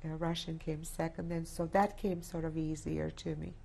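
A Polish-born woman in her sixties, a native Polish speaker, giving reflective oral history testimony in a halting way, with many restarts. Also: low voice, level tone, gravelly, mellow, breathy edge. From Poland. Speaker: interviewee. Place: indoor studio set with plants.

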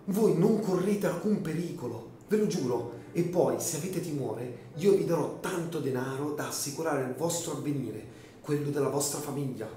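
A man playing an insistent, desperately hopeful gentleman in a melodramatic radio drama, emphatic and pleading. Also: a little high, animated, moderate pace, a little hiss or hum, natural voice. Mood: insistent, solemn. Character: earnest, insistent, desperately hopeful gentleman, the dead countess's brother. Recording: very echoey room, music behind.

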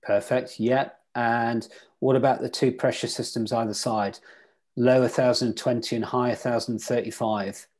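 A man's voice: mid-range voice, steady tone, normal speed, a little mellow. A man teaching sailing weather in an online club lecture over a video call, quizzing his listeners as he goes. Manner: interactive, quizzes the audience.

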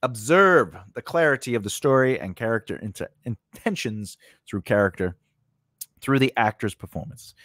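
Animated middle-aged man teaching, dry close sound. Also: mid-range voice, normal speed, no echo. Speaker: host of a screenwriting lesson channel. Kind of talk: conversational instructional talk to camera. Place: small indoor home studio with cloth backdrop. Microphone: close studio condenser mic on a stand.

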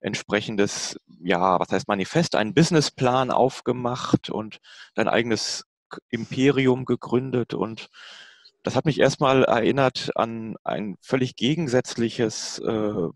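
A man's voice: mid-range voice, a little slow, no echo. A man moderating a panel discussion over a video call, asking long questions with many fillers and restarts.

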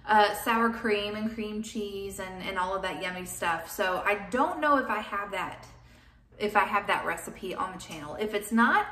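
A woman's voice: mid-range voice, sharp tone, a little hiss or hum. A woman, casually chatting through her grocery haul and weekly meal plan at home. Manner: chatty, friendly, quick asides. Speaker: homestead vlogger. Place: home kitchen. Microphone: camera a few feet away.

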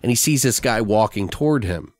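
A man's voice: lowish voice, expressive, a little fast, a little mellow, no room sound, clean studio sound. A man hosting a podcast, casually brainstorming a story with plenty of swearing and rambling. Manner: foul-mouthed, rambling, acts out lines. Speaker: podcast host.

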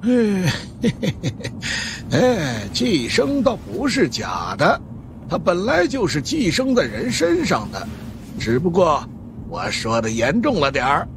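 A man's voice: fairly high pitch, huge pitch swings, measured pace, noisy background, voice pushed up, heavily acted. A man voicing a sly, boastful old Taoist monk with a smug, teasing drawl, in an audiobook. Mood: smug, amused. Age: older. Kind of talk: audiobook character acting.

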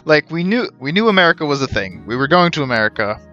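A man in his thirties streams a game with wry, casual commentary. His voice is bright and close, on slightly dull audio with music playing behind. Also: fairly high pitch, big pitch swings, fast. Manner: wry, joking asides. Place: indoor room, webcam stream.

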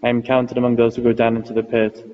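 A man reading psalms aloud in a steady, chant-like liturgical style. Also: mid-range voice, fast, slight background noise. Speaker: reader of psalms. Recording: muffled recording with long reverb.

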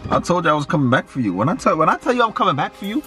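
A man's voice: on the high side, very animated, very fast, bright, forceful tone, faint music behind. A man in his twenties in casual couple banter inside a car, speaking slangily, repeating himself in a coaxing way. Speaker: prank vlogger.

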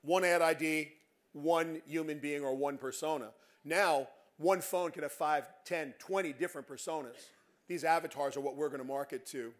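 A man's voice: on the high side, fairly even intonation, moderate pace. Emphatic middle-aged man giving a keynote. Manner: emphatic, confident predictions, pacing the stage. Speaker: keynote speaker. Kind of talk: conference keynote talk. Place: large conference stage.

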